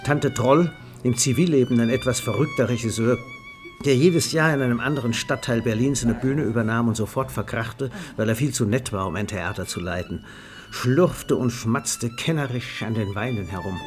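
An actor as a wry storyteller, reading scripted literary narration in a radio play. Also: male voice, medium pitch, normal speed, close to an everyday voice. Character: wry storyteller of a boozy party. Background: music.

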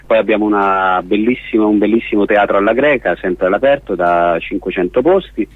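A man from Rome, explaining an event as a radio guest in a halting, wordy way with frequent rephrasing. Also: lowish voice, no room sound, phone-quality sound. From Rome, Italy. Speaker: festival organiser, radio guest.

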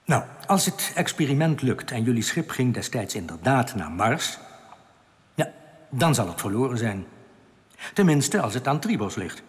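A man's voice: mid-range voice, very animated, normal speed, close to an everyday voice. Solemn rebel played by a man in cavernous reverb. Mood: urgent advice. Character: solemn male underground rebel giving grave warnings. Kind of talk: radio drama acting. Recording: long echoing reverb.

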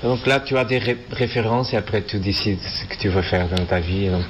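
A man from Portugal speaking with a Portuguese accent as an interview guest, halting and searching for words in a non-native language. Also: on the low side, dull audio. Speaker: interview guest, animation filmmaker. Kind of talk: casual interview answers. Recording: festival interview with background noise.

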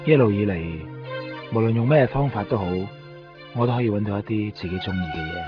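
A man acting a cocky, self-assured finder of lost things in a radio drama, deliberate in manner, with a Hong Kong Cantonese accent. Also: fairly low pitch, lively intonation, lightly acted. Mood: reflective. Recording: dull lo-fi radio recording, music behind.

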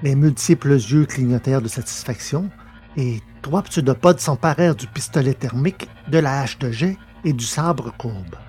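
A man reading scripted science fiction narration in a measured, literary way. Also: mid-range voice, darkish tone. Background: music.

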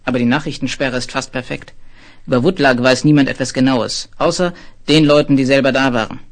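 An actor playing a shrewd, middle-aged editor-in-chief in a 1970s German radio play, curt and businesslike. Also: male voice, mid-range voice, steady tone, a little fast, lightly acted. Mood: brisk, approving. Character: brisk, shrewd magazine editor-in-chief, a commanding boss.

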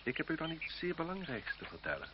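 An actor playing a stately, coldly courteous marquis in a Dutch radio play, pompous and ceremonious. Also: male voice, a little high, huge pitch swings, quick pace, background noise. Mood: ceremonious, courtly. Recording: muffled old radio play recording.

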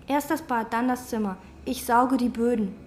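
A woman's voice: a little high, normal speed, a little hiss or hum, close to an everyday voice. A woman playing a terse young chambermaid. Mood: curt. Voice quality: terse, flat, clipped answers. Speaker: hotel chambermaid. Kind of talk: radio play acting with inner monologue.

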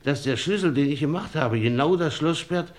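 An actor playing a plain-spoken, rambling working-class locksmith in a German radio crime play. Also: male voice, a little high, moderate pace, close to an everyday voice. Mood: insistent. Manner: colloquial, rambling.

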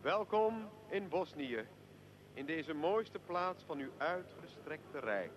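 An actor playing a pompous mayor in a radio drama, declaiming a memorised ceremonial welcome. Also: male voice, on the high side, very animated, takes it slowly, background noise. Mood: ceremonious.

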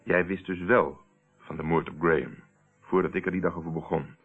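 An actor playing a steady, probing man who confronts a murderer in a radio play, speaking in a deliberate, controlled, accusing way. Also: sits low, slightly rough. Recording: tinny, narrow-band old radio recording.

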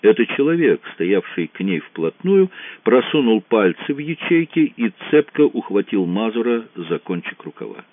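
A man narrating an audiobook in an even, measured reading. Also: on the low side, no room sound. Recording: dry, narrow phone-like sound.

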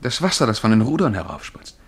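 An actor playing a dreamy, self-assured young amateur poet in a radio drama, with an offhand way of talking. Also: male voice, medium pitch, very animated, fast, slightly rough. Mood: casual, impatient. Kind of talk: German radio drama acting.

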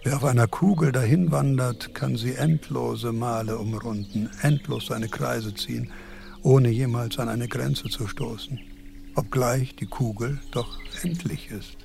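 Pedantic old philosopher, radio play, gravelly and dark. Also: male voice, medium pitch, unhurried, slight background noise. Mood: musing. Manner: pedantic, formal. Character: aging philosopher Kant, precise and dry.

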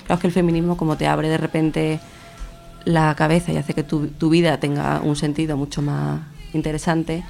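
A woman's voice: low-pitched, flat delivery, moderate pace, creaky, darkish tone, faint music behind. A woman with a Spanish accent from Spain answering casually and colloquially as a guest in a radio interview. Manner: informal, colloquial. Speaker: interview guest, feminist festival organiser.

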